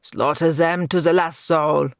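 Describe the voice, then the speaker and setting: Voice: mid-range voice, fairly even intonation, unhurried, gravelly, dry recording, studio quality. A single reader voicing a murderous villain count who raves for slaughter. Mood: raving. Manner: raving, bloodthirsty.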